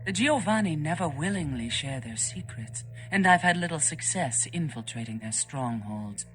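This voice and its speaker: Very low-voiced, stern vampire scholar. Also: female voice, animated, faint music behind. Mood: businesslike, grave. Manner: formal, measured, foreboding. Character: stern, aloof female vampire scholar, grave and formal. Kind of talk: video game voice acting.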